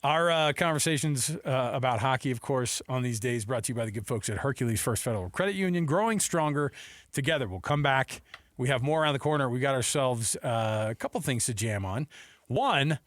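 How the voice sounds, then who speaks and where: A man's voice: mid-range voice, expressive, fairly quick, no room sound. Chatty male sports radio host, dry studio sound. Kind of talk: casual sports radio talk. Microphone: close studio microphone.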